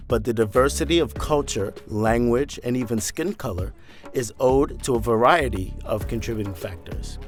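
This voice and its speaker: Male narrator, news voice-over, rhetorical questions, soft music behind. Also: medium pitch.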